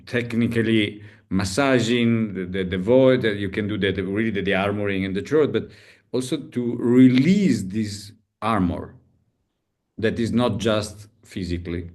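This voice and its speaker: A man's voice: fairly low pitch, measured pace, darkish tone. A man hosting a coaching webinar, speaking hesitantly with phrasing and uneven pace. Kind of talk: casual webinar talk.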